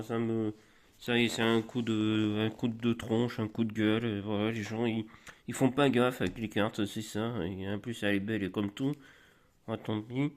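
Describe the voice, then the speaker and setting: A man with a slight cold, showing off flea-market finds in a rambling, halting, casual way, with many restarts. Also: mid-range voice. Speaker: collector vlogger. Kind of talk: casual show-and-tell.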